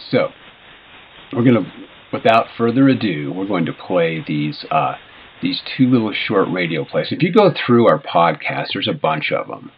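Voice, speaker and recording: Deep voiced guy doing rambly jokey radio host chat, lofi sound w noise behind. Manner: chuckles often, jokey, hesitant. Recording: dull lo-fi radio sound, clear background noise.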